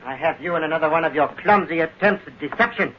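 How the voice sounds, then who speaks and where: An actor playing a cold, threatening warlord villain with clipped, commanding delivery in a vintage radio drama. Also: male voice, a little high, fast talker, lightly acted. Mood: cold, accusing. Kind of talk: 1940s-50s radio acting. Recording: muffled old radio recording, faint noise.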